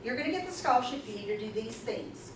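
A woman's voice: a little high, fast talker. A woman presenting at a conference, talking in an emphatic, chatty way and taking questions from the audience. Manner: animated, emphatic, chatty with asides. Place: conference room, audience seated. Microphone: far camera in the audience. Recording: echoey room from far off, noisy.

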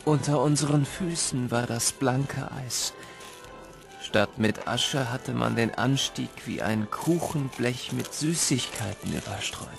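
A man playing a wistful narrator who tells his boyhood tale in measured, literary narration. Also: mid-range voice, gravelly. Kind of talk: scripted narration. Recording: loud music behind.

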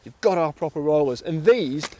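Chatty British man, high voice. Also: animated, brisk, a little mellow, low-quality recording. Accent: British English. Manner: chatty, enthusiastic, repeats words when starting. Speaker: fishing tackle reviewer. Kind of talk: casual chat. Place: outdoors on a lake bank.

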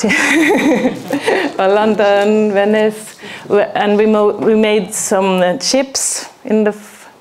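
Slow-talking Norwegian woman giving a lecture. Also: mid-range voice, a little mellow. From Norway. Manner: unhurried, with pauses and filler words, asks the audience questions. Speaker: arboriculture teacher giving a talk. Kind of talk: presentation to an audience. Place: small hall with plywood walls and a projector screen. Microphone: far camera, no mic seen.

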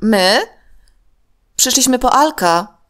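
A woman's voice: a little high, expressive, slow pace, a bit bright, voice pushed up. A woman voicing an anxious, shy young boy in a children's story, earnest and hesitant. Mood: hasty, nervous.

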